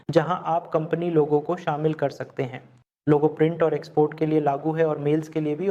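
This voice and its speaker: A man giving a step-by-step software tutorial as a screen-recorded lesson. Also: on the high side, highs cut off. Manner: step-by-step instructional explaining.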